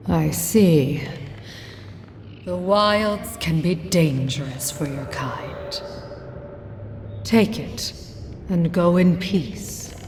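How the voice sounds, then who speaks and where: A woman playing an ancient, solemn forest spirit queen in a video game, measured and regal. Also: on the low side, darkish tone. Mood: resigned. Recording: long reverb, faint background noise.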